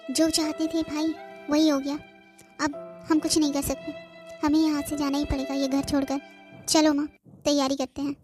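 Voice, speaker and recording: A woman acts out a grown daughter in a sentimental cartoon family drama. Her high voice pleads and reproaches emotionally, staying fairly even in pitch, with music clearly behind it. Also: normal speed, long pauses, close to an everyday voice. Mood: resigned, consoling. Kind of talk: cartoon moral story dialogue.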